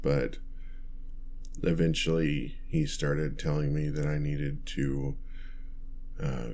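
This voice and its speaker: A man's voice: very deep voice, flat delivery, slow, a little mellow, full character voice. A man playing a meek, nerdy, newly turned vampire in an ASMR roleplay, hesitant, stammering and apologetic as he rambles. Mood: embarrassed, earnest.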